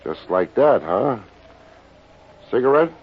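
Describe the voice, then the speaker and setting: An actor playing a cocky, hardboiled private detective in an old-time radio drama, narrating and bantering in wry slang. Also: male voice, mid-range voice, sing-song, slow pace, breathy edge, full character voice. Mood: nonchalant. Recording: muffled old radio recording with music.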